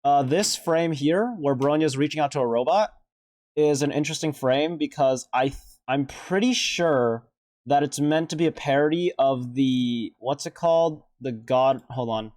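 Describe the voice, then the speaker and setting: A man's voice: fairly high pitch, moderate pace, a little mellow, no room sound. A man giving casual, off-the-cuff reaction commentary as a video host, rambling and hesitant as he thinks aloud.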